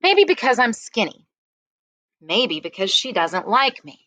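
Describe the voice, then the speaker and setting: Expressive woman reading aloud, very animated pitch. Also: a little slow, dry recording. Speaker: read-aloud narrator. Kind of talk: expressive first-person story reading.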